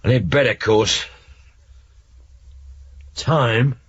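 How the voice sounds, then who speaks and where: A man's voice: mid-range voice, drawn-out words, husky, full character voice. A man with an English accent acting in a 1970s radio drama as a grumbling, flippant detective inspector. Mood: groggy, grumpy. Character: grumpy, rough-living English detective inspector. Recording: dull, muffled old radio recording.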